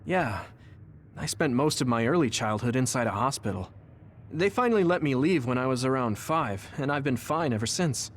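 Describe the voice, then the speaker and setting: An actor playing an earnest, gentle young soldier with psychic powers in a video game cutscene. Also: male voice, medium pitch, animated, moderate pace, a little hiss or hum, lightly acted. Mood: reassuring.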